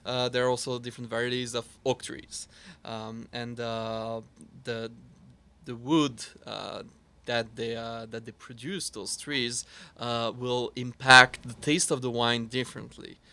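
Halting Swiss French-accented man. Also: medium pitch, little pitch movement, bright, forceful tone, clean tone. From French-speaking Switzerland. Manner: halting, many fillers and restarts. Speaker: wine expert. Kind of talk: casual chat, explaining wine.

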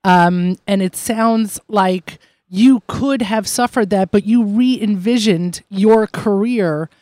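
A man co-hosting an interview who asks questions in a warm, encouraging way and laughs easily. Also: high voice, slow, no echo. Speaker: podcast co-host. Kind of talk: interviewer, conversational.

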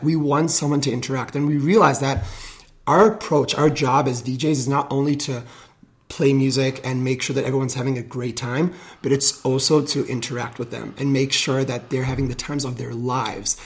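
An enthusiastic man in his thirties, from Israel, chatting as a radio guest. His pitch sits in a middle range and his delivery is eager and energetic. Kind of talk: casual radio interview.

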